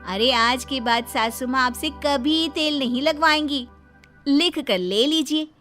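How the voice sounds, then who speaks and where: Woman acting a cheeky, high-voiced young daughter-in-law. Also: unhurried, fairly bright tone, faint music behind. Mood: sugary, sly. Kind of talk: comic moral-story acting.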